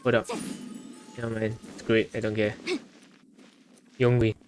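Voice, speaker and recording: A man's voice: fairly low pitch, monotone, measured pace, over music. A man streaming a video game, giving casual live commentary in short muttered reactions with some mild swearing.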